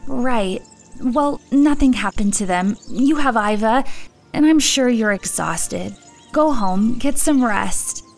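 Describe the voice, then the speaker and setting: A woman acting a calm, practical, caring elf in an audio drama. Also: on the high side, takes it slowly, soft background music. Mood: calm, concerned.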